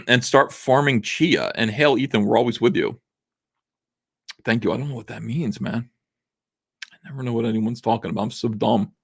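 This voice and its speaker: A man in his forties hosting a casual livestream, reading viewer messages aloud, rambling with lots of fillers while his voice is going rough. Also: medium pitch, a bit bright. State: losing his voice. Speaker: livestream host. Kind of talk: casual livestream chat reading super chats.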